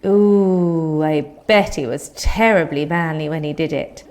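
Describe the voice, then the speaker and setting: A woman's voice: low-pitched, unhurried, darkish tone. A woman playing an earnest, clever, mildly dreamy royal adviser in a comic audio drama.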